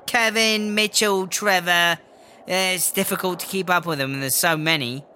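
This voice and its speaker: A jealous, exasperated prisoner played by a man chats away in a bright, sharp voice, pitched a little high. The manner is gossipy and sardonic, like a comedy audio drama monologue, with faint ambience behind. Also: steady tone, moderate pace, slight background noise. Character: jealous, exasperated prison inmate pining for comforts.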